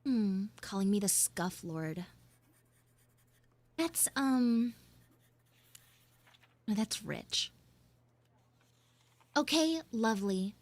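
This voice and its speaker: A woman live streaming an audio roleplay as a bossy, secretive tsundere schoolgirl, halting and teasing, slipping into hushed asides. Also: medium pitch, expressive, no echo. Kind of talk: live in-character audio roleplay with chat banter.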